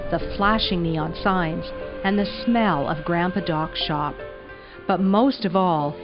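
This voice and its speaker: A woman narrating a children's storybook, in a slightly dull recording with music behind her. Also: lowish voice. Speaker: storybook reader.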